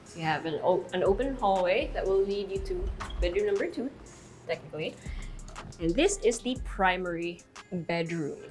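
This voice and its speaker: A woman's voice: lowish voice, lively intonation, normal speed, darkish tone, faint music behind. A young woman hosting a walking house tour, chatty and upbeat, recorded with a clip-on mic inside a large house. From Philippines. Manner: upbeat, chatty, laughs easily. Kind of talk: walking real-estate tour narration. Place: large house interior, rooms and balconies.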